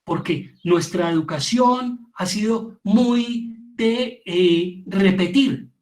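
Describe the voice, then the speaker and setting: A man's voice: sits high, takes it slowly. A middle-aged man with a Colombian accent gives an informal, reflective lecture, repeating words for emphasis as he teaches. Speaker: theatre teacher giving a lecture.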